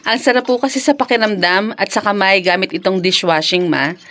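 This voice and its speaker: A woman's voice: medium pitch, moderate pace, sharp tone, close to an everyday voice. A woman playing an emotional young Filipino wife and mother in a melodramatic radio drama. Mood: cheerful. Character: anguished young wife and mother, worn down by waiting.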